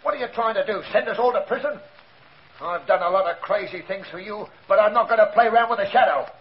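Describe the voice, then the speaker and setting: A man's voice: high voice, fast, close to an everyday voice. An actor playing a blunt, slangy, nervous gangster henchman in 1940s radio style. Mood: alarmed, protesting. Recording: muffled, noisy 1940s radio recording.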